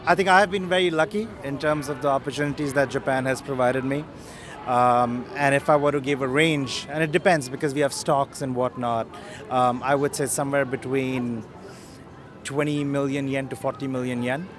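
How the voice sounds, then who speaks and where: A man answers a street interviewer in a measured, composed manner. His voice sits mid-pitch, and a crowd chatters clearly behind him. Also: fairly even intonation. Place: city street outdoors.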